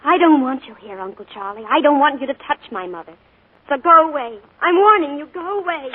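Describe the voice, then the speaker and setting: A woman playing an earnest young woman in a 1940s radio drama, speaking quietly and tensely but firmly when she stands her ground. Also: high voice, very animated, brisk, slight background noise. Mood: defiant. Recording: narrow 1940s radio broadcast.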